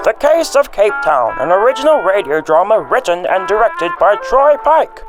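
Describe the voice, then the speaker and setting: A man with a notably high voice narrates a radio drama from a script. His delivery swings up and down, and music plays clearly behind him on the amateur recording. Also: very animated, normal speed, rough recording.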